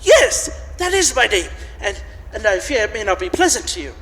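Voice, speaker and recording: An old reformed miser in a live radio play, giddy and stammering. The pitch is very high and swings freely, with a bright, forceful edge. It rings through an echoey church hall. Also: male voice, normal speed. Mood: giddy, eager. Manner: excitable, stammering, emphatic. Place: large church, live performance.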